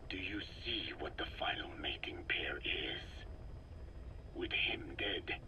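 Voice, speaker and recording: Slow, whispering old sage. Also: male voice, deep voice, poor audio. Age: older. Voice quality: hushed, whispery, breathy. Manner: solemn, deliberate, cryptic. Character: wise, grave, slow-speaking old man, a dying elder. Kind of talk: scripted video game dialogue.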